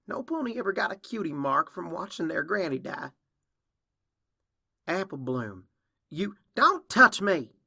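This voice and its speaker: Storybook role: defiant young filly, plaintive and indignant, raised bright pitch male narrator acting it out. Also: animated, unhurried, full character voice. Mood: hurt, defiant. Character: upset, defiant young filly pony girl.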